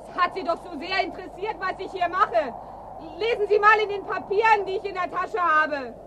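An actress playing a sharp-tongued, evasive woman agent in a radio drama, with a needling, guarded manner. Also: very high voice, moderate pace, noisy background. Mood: goading. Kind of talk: German radio play acting.

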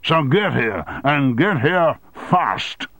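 A man's voice: lowish voice, very animated, normal speed, breathy edge, no room sound, full character voice. A man voicing a blustering, domineering male media tycoon who bellows his orders. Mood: commanding. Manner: bellowing, brusque.

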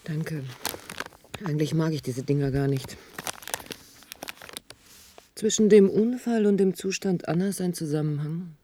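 An actress playing a sober, reserved medical expert with a restrained, clinical manner in a radio drama. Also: female voice, deep voice, measured pace, long pauses, warm tone, a little hiss or hum. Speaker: actor in a radio play. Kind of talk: German radio drama acting.

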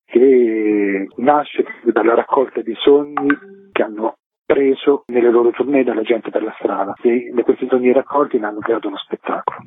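A man's voice: medium pitch, husky. A man being interviewed as a theatre festival co-director, explaining a programme in long, detailed, flowing sentences. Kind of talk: radio phone interview, explanatory rundown. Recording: muffled telephone line with echo.

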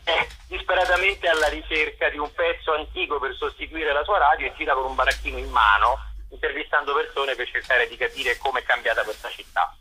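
Male interview guest, theatre director type: very sharp, bright tone with a husky edge, discursive delivery, halting now and then. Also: medium pitch, fairly even intonation, poor audio. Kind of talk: radio interview, explaining and promoting work.

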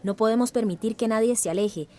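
Female actor, careful activist woman, radio drama, slightly high voice, earnest, emphatic. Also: fast talker, mellow, lightly acted. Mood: earnest, cautioning. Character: committed, careful female environmental community activist.

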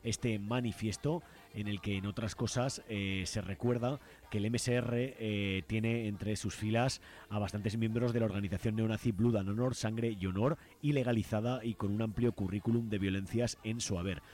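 Male radio host from Spain, fairly low voice, informal and hesitant commentary. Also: fairly even intonation, moderate pace, a little mellow.

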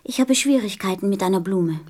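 A woman's voice: mid-range voice, fairly quick, darkish tone, natural voice. A woman playing a curious, innocent young boy in a radio play, earnest and questioning with a wistful, childlike manner.

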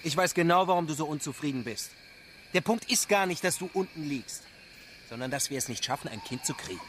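A man's voice: a little high, expressive, a bit bright, slight background noise. An actor playing an embittered, domineering man stranded on a lonely planet in a science fiction radio drama. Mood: scornful, irritated. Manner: scornful in quarrels, halting and pleading when desperate. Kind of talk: German radio drama acting.

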